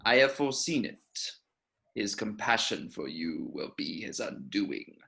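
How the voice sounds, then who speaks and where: Sinister aged ruler, deep and very slow, commanding, amateur script read. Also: male voice, big pitch swings, pushed and bright, full character voice. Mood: cold, scheming. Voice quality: gravelly, creaky. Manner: slow, deliberate, menacing. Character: sinister, commanding old male Emperor, slow and scheming. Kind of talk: amateur table read acting. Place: remote video call from home.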